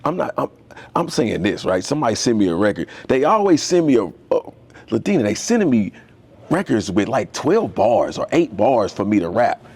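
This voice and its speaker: A man's voice: medium pitch, big pitch swings, normal speed, a little mellow, husky. A young man, a tattoo artist, talking as a podcast guest in a loose, slangy, storytelling way. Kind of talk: casual conversation.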